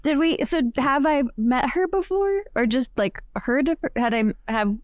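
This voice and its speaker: A woman's voice: high-pitched, expressive, moderate pace, dry recording, clean studio sound. A woman playing a tabletop game, chatting informally and asking questions. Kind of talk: casual chat.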